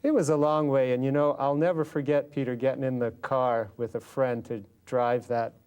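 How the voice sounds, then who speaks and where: A man's voice: medium pitch, steady tone, moderate pace, a little mellow, clear, resonant tone. An American man telling his personal faith story as a guest in an interview. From Los Angeles, California, USA. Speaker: interview guest, lay businessman.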